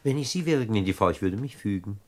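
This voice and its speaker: An actor in a 1960s radio play, playing a genteel criminal with polite, formal manners. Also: male voice, on the low side, expressive, fast talker, slight background noise, natural voice. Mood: formal, pressing. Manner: polite, formal, old-school courtly. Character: genteel, courteous gentleman crook with weak nerves.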